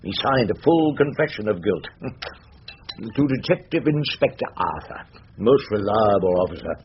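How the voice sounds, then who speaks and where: A man's voice: on the low side, expressive, normal speed, natural voice. An older man with an English accent acting a wry, garrulous old barrister in a radio drama, rambling theatrically with dry irony. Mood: breezy. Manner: rambling, theatrical, drily ironic. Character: elderly, wry, garrulous English barrister, poetry-quoting and irreverent. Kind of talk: radio drama acting with first-person narration. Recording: dull, noisy radio drama recording.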